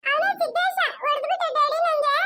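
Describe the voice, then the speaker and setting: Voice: very high voice, steady tone, quick pace, pushed and bright. A performer voicing a whiny little girl who tattles and begs her father to hit someone, tearful and complaining.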